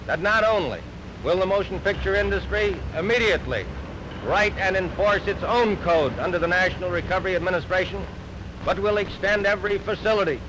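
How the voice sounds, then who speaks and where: American male spokesman, high oratorical voice, noisy vintage newsreel recording. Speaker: government spokesman. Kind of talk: formal public statement.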